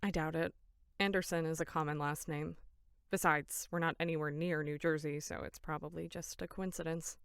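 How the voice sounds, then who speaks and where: A woman's voice: low voice, fairly even intonation, moderate pace, smooth, no room sound, studio quality. A woman acting in an audio drama as a level-headed young camp counselor, sincere, polite and matter-of-fact. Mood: practical.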